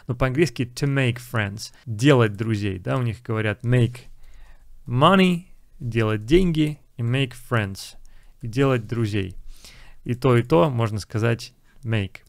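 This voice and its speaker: A man's voice: mid-range voice, a little slow, creaky, dry recording, studio quality. A young man, an English teacher, casually explaining film lines and repeating English phrases.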